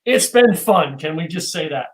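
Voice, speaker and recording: A man's voice: fairly high pitch, expressive, moderate pace. A man hosting a casual video-call interview from a loft room at home, rambling and joking with lots of fillers. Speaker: show host.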